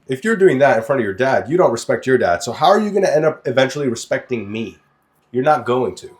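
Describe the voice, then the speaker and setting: A young man from Lebanon co-hosting a podcast, talking bluntly and crudely, swearing as he tells stories and gives opinions. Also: mid-range voice. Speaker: podcast co-host. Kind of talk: casual opinionated podcast chat.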